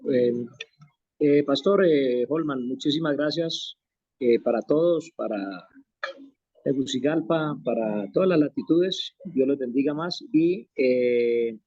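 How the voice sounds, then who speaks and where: A man attending an online class who thanks the teacher warmly, with hesitant fillers. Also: medium pitch, takes it slowly, no echo. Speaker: student. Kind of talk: casual thanks on a video call. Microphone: video call. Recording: dull, slightly distant video-call audio.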